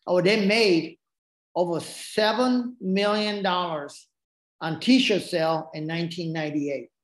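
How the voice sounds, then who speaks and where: A man's voice: high voice, fairly even intonation, a little slow, roomy sound. A man whose first language is Japanese, speaking English with a Japanese accent and telling stories in a casual, rambling way with lots of restarts. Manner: rambling, frequent restarts and asides. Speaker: podcast guest. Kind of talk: casual storytelling.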